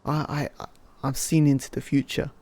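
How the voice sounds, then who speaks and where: A man giving casual, halting, joking commentary while he plays a game. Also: mid-range voice, little pitch movement, creaky, dark tone, breathy edge. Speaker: game streamer. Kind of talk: casual, off-the-cuff gameplay commentary.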